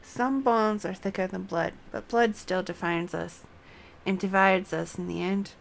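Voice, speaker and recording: A woman's voice: mid-range voice, fairly even intonation, measured pace, creaky, a little hiss or hum. A woman reading out a somber, earnest game character's quest dialogue. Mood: grave, bitter. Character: somber, bitter game character reflecting on blood and legacy.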